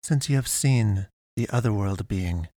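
A man voicing a calm, otherworldly young girl preacher with an accented voice and stilted, archaic, formal phrasing in an audiobook story. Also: lowish voice, measured pace, dry recording, studio quality. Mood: earnest, probing. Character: otherworldly young girl pastoress, calm, odd, gun-toting.

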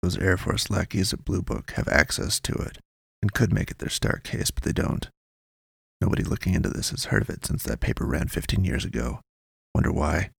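Man doing a hushed, gravelly secret informant. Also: low-pitched, lively intonation, brisk, dry recording, studio quality, exaggerated voice. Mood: secretive. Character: secretive male informant nicknamed Sinatra, grave, cagey, slangy.

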